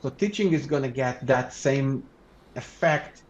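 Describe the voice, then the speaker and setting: A man whose first language is Hebrew, a law researcher and lecturer answering interview questions in a measured, halting way with frequent restarts. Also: mid-range voice, slight background noise. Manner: measured, halting, with many restarts and "you know".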